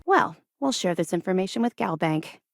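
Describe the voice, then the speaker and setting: Driven female officer, slightly low voice, tough, clipped military delivery, businesslike, video game acting, dry close sound. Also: expressive, moderate pace, gravelly, dry recording. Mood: businesslike, firm.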